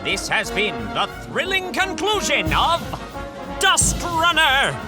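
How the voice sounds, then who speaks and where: A man's voice: very high-pitched, wildly swooping pitch, slow. A man announcing credits as a theatrical, formal old-time radio announcer, reading from a script. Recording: loud music under the voice. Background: loud music.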